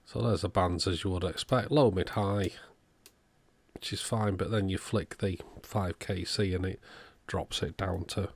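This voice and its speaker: Male, lowish voice, understated hands-on repairer talking through the work casually. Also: steady tone, a little slow, vocal fry, slightly rough. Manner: matter-of-fact, thinking aloud, understated. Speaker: electronics repairer presenting own channel. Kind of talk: casual repair walkthrough commentary. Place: indoors at a workbench.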